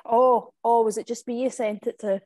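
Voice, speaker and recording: A woman's voice: on the high side, fairly even intonation, moderate pace, warm tone, no room sound. A woman hosting a video call, chatty and a little flustered while sharing her screen. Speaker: host. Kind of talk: casual chat on a video call.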